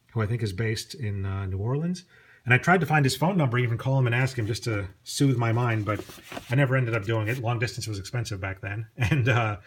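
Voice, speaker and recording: A man's voice: medium pitch, a bit bright. A middle-aged man gives a casual, unscripted show-and-tell as a collector, chatty and prone to digressions. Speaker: record collector presenting collection. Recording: clear background noise, clicks.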